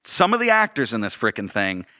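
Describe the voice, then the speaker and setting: Enthusiastic man with a bright voice. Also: mid-range voice, wildly swooping pitch, fairly quick. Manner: enthusiastic, emphatic repetition. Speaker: podcast co-host, director. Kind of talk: casual conversational podcast chat.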